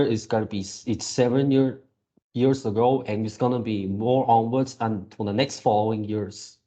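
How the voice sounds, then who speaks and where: A man in his early twenties talking casually to viewers as a channel host, in a halting way, often repeating and rephrasing himself. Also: mid-range voice, warm tone. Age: early 20s. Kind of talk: casual reaction video talk to viewers.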